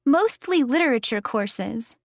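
An actress playing a candid young student in a scripted language-course dialogue. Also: female voice, on the high side, lively intonation, a little slow, no room sound, studio quality. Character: young female student, candid about classes.

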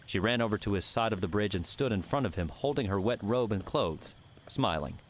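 A man narrating a fiction audiobook with measured, scripted storytelling. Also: fairly low pitch, lively intonation, a little hiss or hum.

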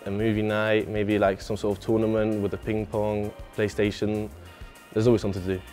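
A man's voice: on the low side, completely flat delivery, fairly quick, a little mellow, faint music behind. A young man from Spain, answering interview questions casually as a student in a residence common room. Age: young adult.

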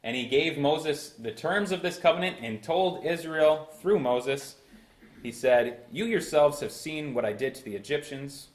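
A young man in his twenties preaching a sermon from a church pulpit, with bursts of emphasis and slow, drawn-out phrases. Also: mid-range voice. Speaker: pastor. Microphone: far camera in a roomy church. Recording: echoey church room.

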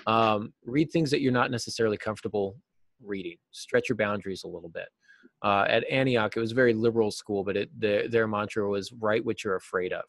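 A man's voice: on the low side, fairly quick, creaky, dry recording. A middle-aged man giving conversational answers as a guest writing teacher in an interview, speaking over a video call from an office. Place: small office, indoors.

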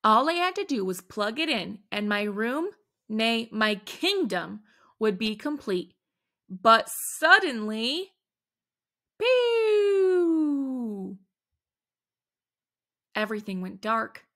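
Woman storyteller for a kids' read-aloud: slow, slightly gravelly, expressive. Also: fairly high pitch. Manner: animated, dramatic emphasis on exclamations. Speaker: children's book reader.

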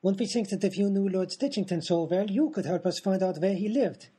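A woman's voice: mid-range voice, brisk, mellow, breathy edge, lightly acted. A woman playing a plain-spoken, practical sidekick who patiently explains things in a radio comedy. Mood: matter-of-fact.

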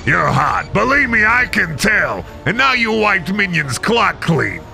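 A man's voice: high-pitched, sing-song, measured pace, vocal fry, full character voice. A man voicing Satan as a boastful, scheming male devil with a folksy drawl, in a comic dub. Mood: gleeful. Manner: folksy drawling salesman patter. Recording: over loud music score.

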